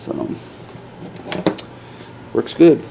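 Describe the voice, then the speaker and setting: A man in a casual, hesitant show-and-tell, thinking out loud like a DIY vlogger in an informal, rambling way. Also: extremely slow, muffled recording. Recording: clearly noisy, radio chatter at times.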